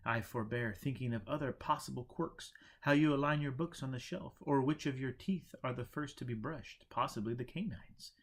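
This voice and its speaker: A man voicing an imperturbable, teasing monk who teaches in deliberate, didactic pronouncements in a storybook. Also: medium pitch, pitched above the performer's own voice, lightly acted. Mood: calmly teasing.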